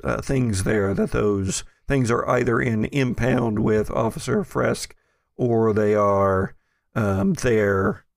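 Creaky-voiced man running a game. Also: lowish voice, no room sound, clean studio sound. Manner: halting, searching for words. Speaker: game master. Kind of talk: improvised tabletop game narration.

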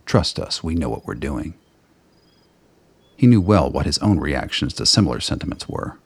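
A man narrating a scripted radio drama in a measured, literary storytelling manner. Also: a bass voice, sing-song, slightly rough, no room sound.

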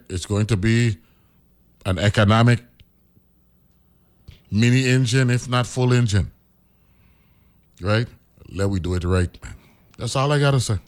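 A man's voice: medium pitch, moderate pace, pauses often. A middle-aged man from the Virgin Islands with a Virgin Islands accent, a radio host telling a story in casual commentary and often tagging his sentences with "right?". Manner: conversational storytelling, keeps asking "right?".

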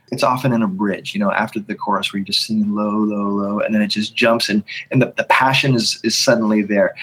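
A man's voice: mid-range voice, a bit bright, husky, low-quality recording. A man who writes and leads worship songs, talking in a podcast conversation, thinking aloud with frequent restarts and humming bits of melody. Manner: thinks aloud with many restarts, sings snippets to illustrate. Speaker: worship songwriter and leader.

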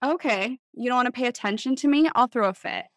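A woman author in casual podcast chat, telling things in an animated way with lots of "like" and acted-out quotes. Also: fairly high pitch, fast talker, no room sound, studio quality. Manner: animated storytelling, acts out imagined quotes, heavy use of "like". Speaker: author guest on a book podcast.

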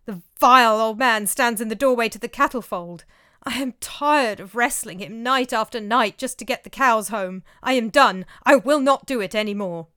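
A woman's voice: fairly high pitch, normal speed, sharp tone, clean tone, no room sound, clean studio sound. Figure: a woman doing a frightened male servant. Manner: panicky, moaning.

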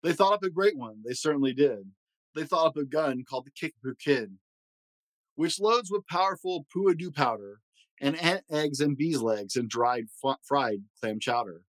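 A man's voice: mid-range voice, animated, moderate pace, no room sound, rough recording. A man hosting a read-aloud, telling a rhyming children's story in a theatrical, sing-song way. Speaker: host reading a children's book aloud.

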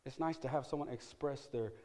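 A middle-aged man preaching a sermon as pastor, repeating phrases for emphasis and swinging from loud calls to hushed asides. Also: mid-range voice, brisk.

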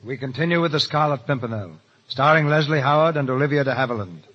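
A man's voice: mid-range voice, quick pace. A man giving dramatic scripted narration for a 1930s radio play. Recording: muffled 1930s radio recording. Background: music.

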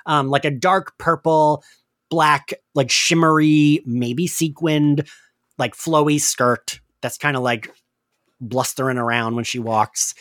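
A man's voice: fairly high pitch, takes it slowly, fairly bright tone, dry recording, clean studio sound. A man in his forties hosting a casual podcast, chatty and self-deprecating. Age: 40s. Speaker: podcast host.

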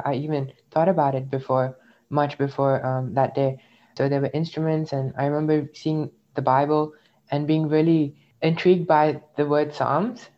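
A man's voice: on the high side, warm tone. A young man from India, raised in the UAE, speaks as an interview guest. He tells his story in a thoughtful, reflective way. The recording sounds slightly dull and lo-fi. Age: young adult. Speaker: interview guest, graduate student. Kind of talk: personal testimony in conversation.

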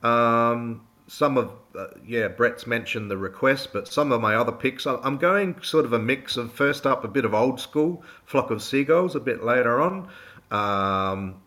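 A man with an Australian accent co-hosting a radio show in a studio, slightly croaky in a relaxed, rambling chat. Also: medium pitch, a little slow. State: hoarse. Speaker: radio co-host.

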